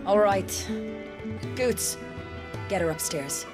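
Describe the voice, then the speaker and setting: Brisk woman healer over loud music. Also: mid-range voice, expressive. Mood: brisk, businesslike. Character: brisk, practical female healer in fantasy game. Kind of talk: video game cutscene acting.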